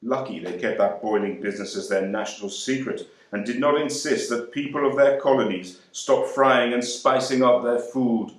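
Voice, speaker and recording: An actor playing a proud, wry young man serving in the air force, speaking with measured delivery and deliberate pauses. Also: medium pitch, close to an everyday voice. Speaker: actor reading a monologue. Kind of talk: dramatic monologue reading. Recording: very echoey room.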